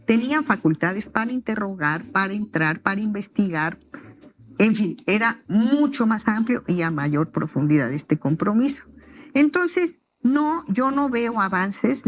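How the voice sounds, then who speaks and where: A woman journalist, giving a firm, critical analysis. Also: mid-range voice. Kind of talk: spoken analysis.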